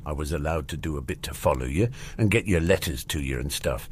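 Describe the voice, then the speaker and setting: A man's voice: animated, brisk, heavily acted. A man voicing a huge, hot-tempered but kindly giant, in an audiobook story. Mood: sheepish. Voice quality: gruff, booming. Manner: rustic, blunt, informal.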